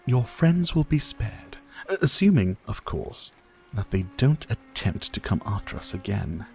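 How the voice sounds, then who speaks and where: A man's voice: lowish voice, very animated, a little slow, vocal fry, a little mellow, faint music behind, exaggerated voice. A man playing a sadistic, courtly vampire lord in an ASMR roleplay, speaking in a silky, formal, teasing and theatrical manner. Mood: silky, predatory. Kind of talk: ASMR roleplay, dramatic monologue to listener.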